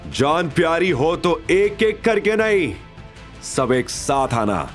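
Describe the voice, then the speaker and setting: Fearless audio drama hero, voiced by a man: commanding, defiant, slow deliberate delivery, music bed. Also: on the high side, very animated, pitched above the performer's own voice. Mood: menacing defiance. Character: fearless, brooding male hero defying villains, protective. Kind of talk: Hindi audio drama acting.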